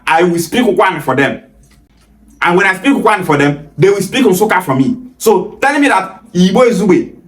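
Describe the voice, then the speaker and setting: Emphatic Nigerian man in an echoey room. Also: high-pitched. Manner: emphatic, gestures freely, rises sharply on rebuttals. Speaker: video host. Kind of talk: passionate direct-to-camera talk. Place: small indoor room against a plain wall.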